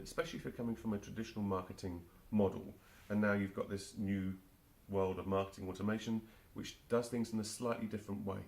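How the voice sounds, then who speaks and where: Gravelly London man in his forties. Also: fairly low pitch, a little mellow. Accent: London English. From England. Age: 40s. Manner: hesitant, many restarts and fillers. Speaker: marketing automation specialist, guest. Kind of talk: casual interview answers.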